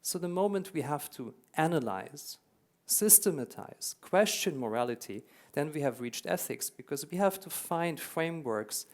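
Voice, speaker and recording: A man's voice: on the high side, lively intonation, moderate pace. German-accented man giving a keynote. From Hungary, raised in Germany. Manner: earnest, explanatory, repeats words for emphasis. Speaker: keynote speaker. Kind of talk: conference keynote talk. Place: conference hall.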